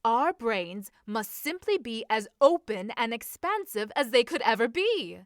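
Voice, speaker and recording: A woman's voice: on the high side, sing-song, unhurried, no echo, studio quality, pitched above the performer's own voice. A woman reading as a confident, scheming young society lady, eager and formal in a period story. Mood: confident, musing. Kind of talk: audiobook-style character reading.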